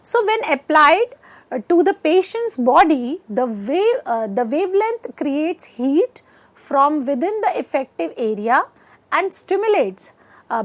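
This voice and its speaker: A woman in her thirties with an Indian accent, giving an educational lecture in a halting, deliberate way as she reads from slides. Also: sits high. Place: indoor studio set. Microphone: lapel mic.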